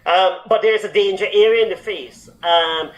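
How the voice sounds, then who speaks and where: A man with a notably high voice gives medical advice on a talk show. He explains carefully, filling pauses with ums and restarting phrases as he goes. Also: poor audio. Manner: explanatory, many fillers and restarts. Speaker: doctor answering health questions.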